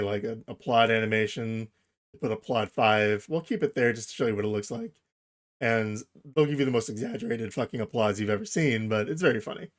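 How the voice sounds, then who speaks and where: A man narrating a casual software tutorial, explaining things informally, step by step, as its host. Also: medium pitch.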